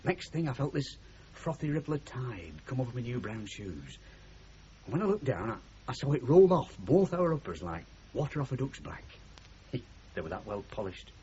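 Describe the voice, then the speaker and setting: An actor playing a blunt, sentimental middle-aged working-class husband with a Northern English accent in a radio comedy drama. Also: male voice, mid-range voice, big pitch swings, moderate pace, dark tone, close to an everyday voice. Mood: wistful, reminiscing. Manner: blunt, emphatic, plain-spoken. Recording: muffled 1970s radio drama recording, noisy.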